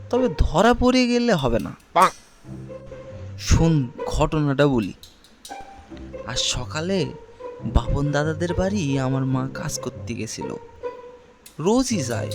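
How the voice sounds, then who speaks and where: Man playing a brash village boy, music behind. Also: fairly high pitch. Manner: brash, scornful. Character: brash, thieving older village boy, cocky and scornful. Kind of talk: audio story acting.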